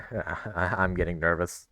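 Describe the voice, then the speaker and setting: Low-voiced young man doing game commentary. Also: steady tone, takes it slowly. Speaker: gaming video creator. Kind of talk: casual playthrough commentary. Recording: game music behind the voice.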